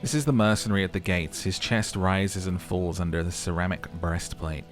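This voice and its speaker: Man, deep pitch, fry in the voice, game narration, casual commentary, light music behind. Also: fairly even intonation, normal speed.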